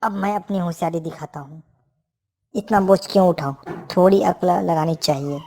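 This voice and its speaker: A woman's voice: on the low side, normal speed, warm tone. A woman voicing a sly, lazy donkey in a cartoon, crafty and self-satisfied. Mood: scheming.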